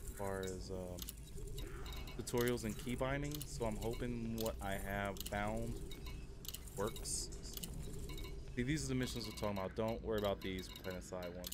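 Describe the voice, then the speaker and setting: A man with a fairly low voice talks through his gameplay for a livestream. His delivery is casual and halting, as if thinking aloud while he plays. There is clear noise behind him on the recording. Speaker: game livestreamer. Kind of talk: casual gameplay commentary and walkthrough. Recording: clearly noisy home stream audio.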